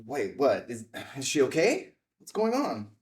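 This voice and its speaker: A man's voice: mid-range voice, huge pitch swings, unhurried. An actor playing a confrontational, sardonic man in a film script table read, speaking bluntly. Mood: alarmed. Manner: blunt, sarcastic. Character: sardonic, confrontational man, disheveled photojournalist type.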